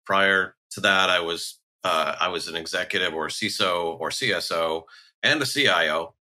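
Man, very deep pitch, sharp tense tone, halting, repeats words, podcast guest. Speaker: guest, chief information security officer. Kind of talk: casual podcast conversation.

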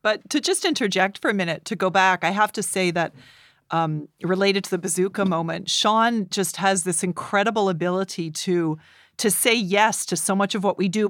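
A woman chats as an interview guest in a mid-pitched voice with a dry, close sound. She speaks earnestly and puts clear emphasis on her points. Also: no echo. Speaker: podcast guest, improv performer. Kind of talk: casual interview conversation.

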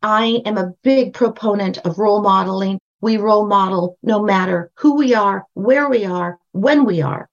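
An older woman with a high voice, a guest on a podcast interview, telling stories with emphatic repetition. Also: moderate pace, few pauses, highs cut off. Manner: emphatic, storytelling, repeats phrases for stress. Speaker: guest, brand strategist and book coach.

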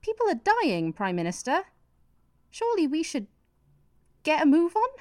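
Woman playing eager female aide, highish pitch, animated intonation, earnest, satirical radio play. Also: normal speed, no room sound. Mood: eager, urging. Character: eager female political aide to a prime minister.

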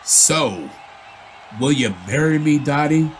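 An actor playing an earnest young suitor who rambles eagerly and stammers in a period audio drama. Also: male voice, fairly high pitch, animated, slow. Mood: nervous, eager. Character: earnest, babbling young 1920s suitor and announcer. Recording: noisy outdoor ambience behind.

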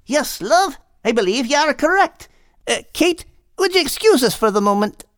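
Cartoonish male seagull, piercingly high, swooping intonation, fond and chirpy, storybook. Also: sing-song, normal speed, fairly bright tone, no echo, clean studio sound, full character voice. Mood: polite, concerned. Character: excitable, affectionate male seagull in an animal adventure.

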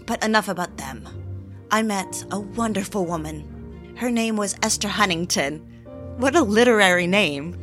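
An actress playing a fragile, eager young mid-century housewife in an audio drama, confiding in a theatrical, genteel way. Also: female voice, medium pitch, animated, normal speed, a bit bright, faint music behind, close to an everyday voice. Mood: wry, confiding. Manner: theatrical, confiding, genteel, old-fashioned phrasing. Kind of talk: dramatic scripted audio drama narration and dialogue.

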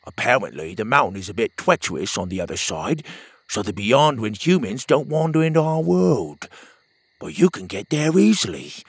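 A cheerful young companion, put on by a male storyteller teases and reassures in a raised, animated voice. It keeps a gravelly, husky grain. The recording is dry and close. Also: medium pitch, moderate pace, no room sound. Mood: reassuring. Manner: teasing, reassuring.

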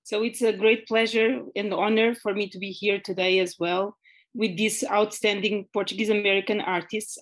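A woman moderating an online conference panel, talking with many hesitant fillers over a video call. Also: mid-range voice.

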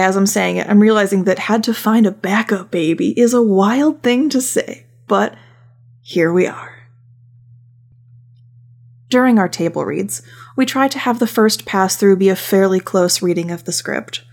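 A woman's voice: medium pitch, measured pace, creaky, pauses often, a little mellow. A woman hosting a podcast as a voice director, narrating conversationally with wry asides. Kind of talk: casual semi-scripted podcast narration.

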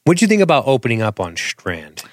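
A man's voice: mid-range voice, huge pitch swings, a little fast, dry recording. A man co-hosting a casual TV episode recap, keeping the talk on track with dry, teasing humor. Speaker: podcast co-host.

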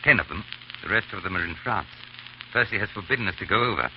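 Loyal gentleman, deep, quick, earnest, clipped, muffled old radio drama. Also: animated. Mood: resolute. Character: loyal gentleman comrade, suspicious then resolute. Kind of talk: 1930s radio drama acting. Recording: dull, faintly noisy 1930s radio recording.

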